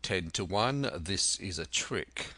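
A man voicing a stern, curt ship's captain who speaks in deliberate, scornful commands in an adventure storybook. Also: sits low, animated, bright, forceful tone, dry recording, full character voice. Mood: wary. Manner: clipped orders, deliberate, scornful.

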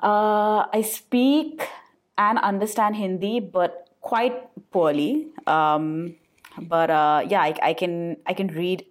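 A woman's voice: lowish voice, steady tone, slow pace. A woman from India chatting casually, hedging as she thinks aloud. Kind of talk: casual chat.

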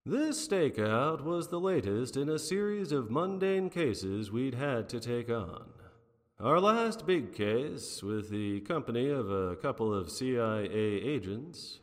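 An actor playing a wry 1940s private detective, narrating his cases in a hard-boiled, theatrical radio drama style. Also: medium pitch. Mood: world-weary. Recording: long reverb, music underneath.

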